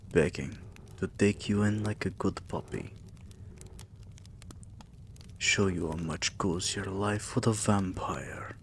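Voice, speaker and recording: A very low, gravelly vampire king, acted by a man, murmurs in an intimate ASMR roleplay. He is regal, possessive and doting, taking his words very slowly and often slipping into a whisper. A faint noise sits behind the close-miked voice. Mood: tender, possessive. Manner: slow, hushed, breathy murmur, often dropping to a whisper. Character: tender, possessive immortal vampire king, soothing his beloved pet.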